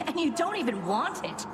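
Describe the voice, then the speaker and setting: Sneering, slow-drawling fury. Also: female voice, sits high, sing-song, heavy background noise. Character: spiteful taunting female fury, cruel game villain. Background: loud music.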